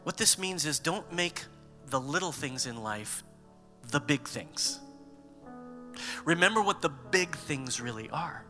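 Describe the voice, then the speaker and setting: Animated male pastor preaching in a hall. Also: a little high, a little slow, long pauses, soft background music. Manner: animated, conversational preaching with humor. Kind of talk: sermon.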